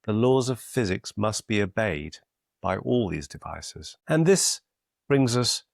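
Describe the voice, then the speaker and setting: A middle-aged man, giving a measured, deliberate scripted lesson that stresses key terms. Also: fairly low pitch, lively intonation, creaky, dry recording, studio quality. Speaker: clinical educator. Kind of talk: scripted educational explainer.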